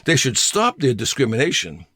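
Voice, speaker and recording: Male writer, scripted essay reading, deliberate delivery, very expressive intonation, dry close recording. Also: medium pitch, slightly rough, no echo.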